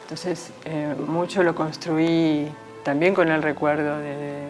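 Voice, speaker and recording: Low-voiced actress reminiscing. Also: female voice, slow, soft background music. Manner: relaxed, reminiscing, with many fillers. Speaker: stage actress. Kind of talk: interview about a play.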